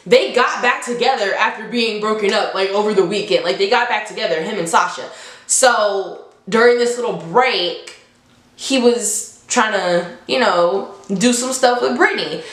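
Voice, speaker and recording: A woman's voice: medium pitch, fairly bright tone. A young adult woman telling a story to viewers in a casual, slangy, conversational way, dramatically re-enacting what people said. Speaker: storytime vlogger. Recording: echoey room.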